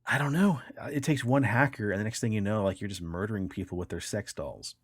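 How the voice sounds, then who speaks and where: A man's voice: lowish voice, fast, husky, no room sound. A man hosting a podcast, reading articles aloud and chatting in an informal, colloquial way. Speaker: podcast host.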